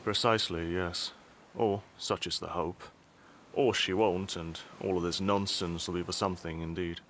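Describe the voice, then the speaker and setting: A man's voice: deep voice, fairly even intonation, normal speed, fairly bright tone, a little hiss or hum. An actor playing a composed, sardonic middle-aged father and statesman in an audio drama, speaking formally and with dry wit. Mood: measured, matter-of-fact.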